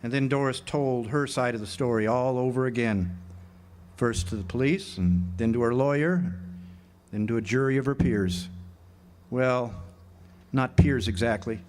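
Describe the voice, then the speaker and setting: A man's voice: mid-range voice, measured pace, a little mellow, slight background noise, close to an everyday voice. A man plays a wry drifter in a period radio play. His voice is mid-range, and he talks like a folksy storyteller looking back on a crooked little town. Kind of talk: radio drama narration and dialogue. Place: live amateur radio drama performance at an amphitheater.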